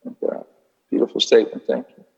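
A man leading a Q&A with an audience in a meeting hall, talking in an unhurried, musing way with dry humor. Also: darkish tone, dull audio. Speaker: speaker leading a Q&A session. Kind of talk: reflective talk answering an audience.

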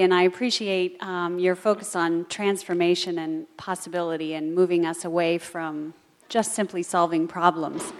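A woman with a fairly low voice poses a question from the audience. She speaks politely and thoughtfully, in a measured way. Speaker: audience member asking a question.

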